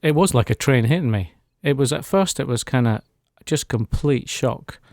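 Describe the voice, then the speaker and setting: A man's voice: mid-range voice, expressive, normal speed, a little mellow, dry recording, studio quality. A man, telling his personal story as a guest in a radio interview. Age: 40s. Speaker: interview guest giving a testimony. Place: radio studio.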